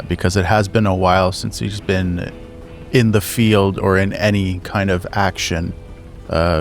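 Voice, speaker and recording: A man playing a tabletop roleplaying game, describing his character's actions haltingly as he thinks aloud. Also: low-pitched.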